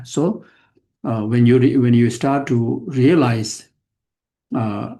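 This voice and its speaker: Older male Buddhist teacher, gravelly, mellow tone, halting delivery full of restarts. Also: mid-range voice. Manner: halting, repeats words and restarts phrases often. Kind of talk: dharma teaching to an audience.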